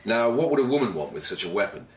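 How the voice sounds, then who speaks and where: An actor playing a clever, insinuating police lieutenant at an old imperial court in a radio drama, suave and measured. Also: male voice, fairly low pitch, sing-song, a little hiss or hum. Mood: smooth, pointed.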